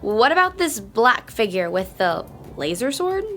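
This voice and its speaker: Woman acting a feisty, high-voiced young alien girl. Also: sing-song, a little slow, fairly bright tone, faint music behind, exaggerated voice. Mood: puzzled, wry. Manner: defensive, blunt. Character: feisty, impatient young female alien stranded on Earth. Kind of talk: comedic audio drama acting.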